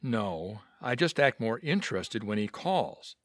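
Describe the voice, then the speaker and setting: Flirty, teasing jock, very animated pitch. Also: male voice, a little slow, dry recording. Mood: frank, concerned. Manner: teasing, questioning. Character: flirty, teasing jock, the wife's secret admirer. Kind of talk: comedic audio drama acting.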